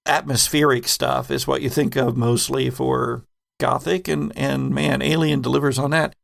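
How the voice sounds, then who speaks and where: Gravelly-voiced man hosting a casual podcast. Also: mid-range voice, level tone, moderate pace. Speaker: podcast host and game master. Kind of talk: casual retrospective chat.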